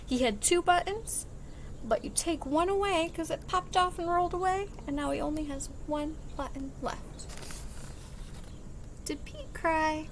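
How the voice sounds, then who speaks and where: A woman reading a picture book aloud to young children as a teacher, expressive and sing-song, pausing to explain in simple words. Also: very high-pitched, a little hiss or hum. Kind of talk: animated children's read-aloud with singing and counting.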